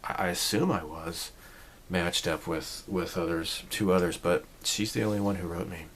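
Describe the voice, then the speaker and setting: A man's voice: sits low, steady tone, moderate pace, fairly bright tone, a little hiss or hum. A man in his forties talking casually to camera, rambling and reflective, with many fillers and restarts. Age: 40s. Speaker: channel host. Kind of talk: casual personal vlog talk.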